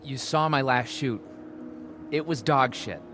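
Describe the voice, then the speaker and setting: A man's voice: medium pitch, measured pace, background noise, lightly acted. An actor playing a young male college student, foul-mouthed, frustrated and unsure of himself, in a casual, naturalistic video game style. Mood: self-doubting. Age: young adult. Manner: slangy, casual, swearing.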